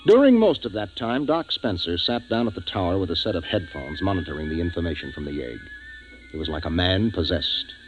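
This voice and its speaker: Low-voiced, grave 1950s radio spaceship captain. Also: male voice, sing-song, a little fast. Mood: grave narration. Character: grave, decisive spaceship captain who narrates the tale. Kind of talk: 1950s radio drama acting and narration. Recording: dull 1950s radio recording, music behind.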